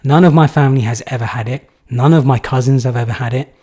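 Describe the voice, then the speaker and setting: A man's voice: medium pitch, fast, warm tone, husky. A young man from England answering viewer questions in a live stream, casual and rambling with many fillers. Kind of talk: live Q&A chat.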